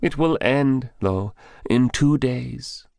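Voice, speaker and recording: A man's voice: medium pitch, slow pace, gravelly, a little mellow, dry recording, clean studio sound, pitched above the performer's own voice. A man voicing a frightened young male village storekeeper in an audiobook, anxious and pleading. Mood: bitter. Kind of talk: audiobook character voice.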